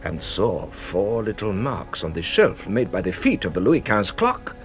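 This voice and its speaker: An actor playing a shrewd, courteous police detective in a radio drama, speaking with a theatrical, deliberate manner. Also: male voice, fairly low pitch, sing-song, faint music behind. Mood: triumphant explaining. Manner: theatrical, deliberate explaining, savouring each revelation.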